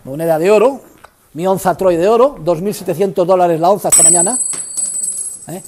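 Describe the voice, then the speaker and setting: An older man lecturing economics to a university class, theatrical and emphatic, swinging between loud outbursts and low asides. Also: a little fast, slight background noise. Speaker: economics professor.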